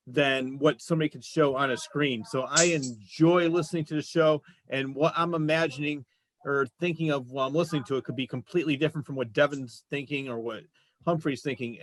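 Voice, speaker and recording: A man's voice: a little high, dry recording. A man hosting a casual interview show, talking in an enthusiastic, complimentary way. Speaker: show host. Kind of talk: casual chat, interviewing guests.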